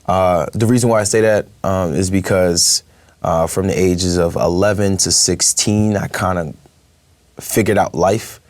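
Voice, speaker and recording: Young New man, low voice, dry close sound, hesitant and chatty guest. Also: steady tone, unhurried, darkish tone, no room sound. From Brooklyn, New York. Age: young adult. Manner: hesitant, many fillers, laughs easily. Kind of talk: casual interview chat.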